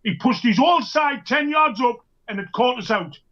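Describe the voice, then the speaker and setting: A man's voice: extremely high pitch, lively intonation, moderate pace, bright, forceful tone. A man co-hosting a casual fan chat, making firm, emphatic points. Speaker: co-host. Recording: dull lo-fi line.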